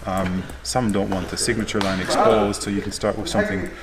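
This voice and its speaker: A man's voice: on the low side, flat delivery, a little fast. A man giving an informal, matter-of-fact product walkthrough as a car configuration consultant. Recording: busy crowd chatter behind.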